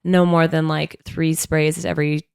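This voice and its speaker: A woman's voice: low-pitched, flat delivery, moderate pace, creaky, darkish tone, clean tone, dry recording, clean studio sound. A woman co-hosting a podcast with a stuffy, congested nose, telling stories in a chatty, rambling way full of fillers. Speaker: podcast co-host. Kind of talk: casual chat. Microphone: studio microphone.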